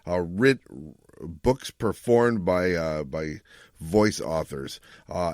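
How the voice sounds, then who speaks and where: A man's voice: low-pitched, dry recording, studio quality. A man hosting a podcast in casual chat, explaining things in a rambling, self-correcting way. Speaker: podcast host.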